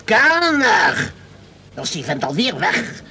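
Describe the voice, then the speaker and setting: Blustering whisky-loving judge, radio drama, very animated delivery, talkative, slight noise. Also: male voice, high-pitched, slow pace, exaggerated voice. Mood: blustering, jovial. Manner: bluff, talkative, theatrical. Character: loud, jovial, whisky-loving male High Court judge. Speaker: actor. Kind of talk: Dutch radio play acting. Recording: noisy old radio play recording.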